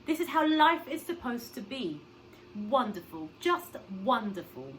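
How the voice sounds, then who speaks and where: An emotional mother from a children's storybook, voiced by a woman reading aloud. She speaks in emphatic exclamations. The recording has clear noise behind the voice. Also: a little high, sing-song, a little slow, voice pushed up, poor audio. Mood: elated.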